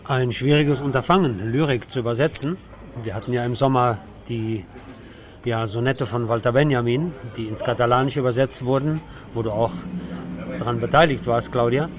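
A man's voice: medium pitch, normal speed. Man interviewing, crowd noise behind. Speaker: radio host interviewing a guest. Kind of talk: conversational cultural radio interview.

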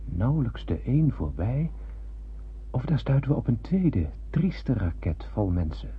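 An actor playing a sombre crewman who narrates a doomed space voyage in a literary radio drama, husky and breathy. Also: medium pitch, very animated, unhurried, lo-fi sound, heavily acted. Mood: sombre, wistful.

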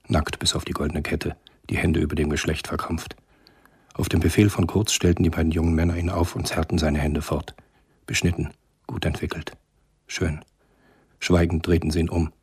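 A man narrating a radio drama from a script in a measured, sombre, husky voice. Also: rumbling low, flat delivery, darkish tone.

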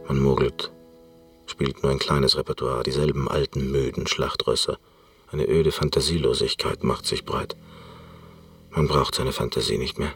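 An actor playing a world-weary, disillusioned former concert performer, brooding and gravelly, in a radio play monologue. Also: male voice, extremely low pitch, a bit bright, exaggerated voice. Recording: distant mic, music behind.

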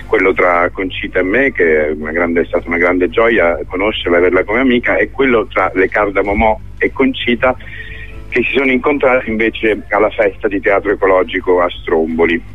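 A man's voice: low voice, fairly quick, faint music behind. A man speaking as a theatre director in a conversational radio interview, hesitant and searching for his words. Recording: thin phone-like radio line.

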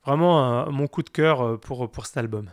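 A man co-hosting a music podcast, explaining things in a casual, halting way with repeated words. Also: mid-range voice, dry recording, studio quality. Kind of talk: casual album review chat.

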